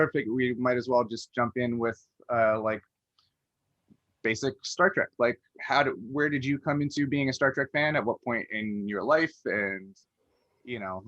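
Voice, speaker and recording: Male podcast interviewer: conversational, rambling, average pitch, dry recording. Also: a bit bright, no room sound, dull audio.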